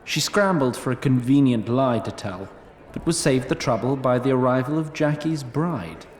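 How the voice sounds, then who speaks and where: A man's voice: medium pitch, animated, normal speed, roomy sound, slight background noise, natural voice. A man playing a haughty immortal storyteller, narrating a fantasy audio drama in a droll, formal, arch manner. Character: wry, lofty immortal storyteller, haughty toward mortals.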